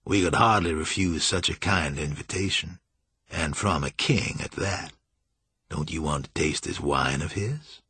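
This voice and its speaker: Man voicing a low, silky, sinister vampire master. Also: unhurried, no room sound, exaggerated voice. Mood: sly amusement. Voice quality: low, smooth, musical. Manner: courtly, languid, softly mocking. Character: suave, sinister male vampire master, slyly menacing. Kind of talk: audiobook character acting.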